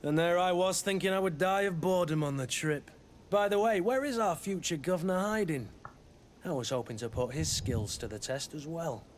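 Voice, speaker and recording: Man voicing a blunt teasing sellsword mentor for a fantasy game, voice a bit high, some noise behind. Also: normal speed, close to an everyday voice. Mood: wry, familiar. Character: gruff, teasing male mercenary master of arms, loyal mentor. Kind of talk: video game dialogue acting.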